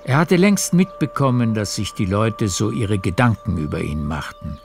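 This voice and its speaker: A man narrating a literary radio play in a measured storytelling manner. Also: fairly low pitch, expressive, vocal fry. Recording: music behind the narration.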